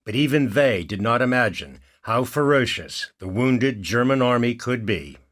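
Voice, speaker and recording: A man narrating a war game cutscene in a measured, formal, scripted documentary style. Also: medium pitch, expressive, fairly bright tone.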